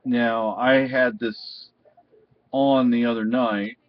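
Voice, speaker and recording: A man giving a casual, unscripted product review in a halting, rambling way. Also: mid-range voice, fairly even intonation, no room sound. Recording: dull, muffled lo-fi sound.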